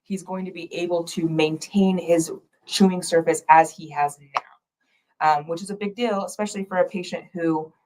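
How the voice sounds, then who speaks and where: Casting: low-pitched woman co-host, chatty and playful, slight allergy rasp. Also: fairly even intonation, normal speed, darkish tone, low-quality recording. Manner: chatty, playful, lots of agreeing fillers. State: slightly raspy throat from allergies. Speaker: dental office staff co-host. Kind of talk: casual chat.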